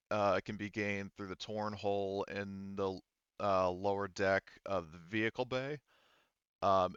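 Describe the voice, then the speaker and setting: A man working as game master, narrating a tabletop roleplaying scene in a halting way, thinking aloud and rephrasing as he goes. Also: fairly low pitch, a bit bright, smooth, no echo, muffled recording.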